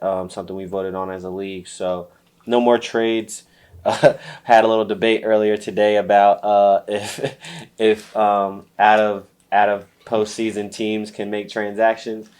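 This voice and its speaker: A stuffy-nosed man with a lowish voice and little rise or fall in his pitch. He runs a laid-back fantasy football chat, drifting through fillers and easy chuckles. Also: unhurried. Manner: relaxed, lots of fillers and light laughs. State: stuffy from allergies. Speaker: podcast co-host.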